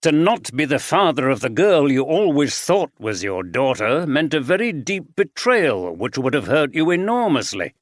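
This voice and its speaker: A man's voice: fairly high pitch, sing-song, normal speed, few pauses, dry recording, clean studio sound. A man playing a shrewd older male detective, probing and then urgent and commanding.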